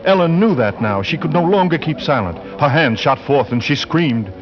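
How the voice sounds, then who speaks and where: A man playing a grave, suspenseful storyteller, narrating a 1940s radio thriller with theatrical, deliberate emphasis. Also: a little high, expressive, natural voice. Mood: tense suspense. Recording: muffled old radio recording, music behind.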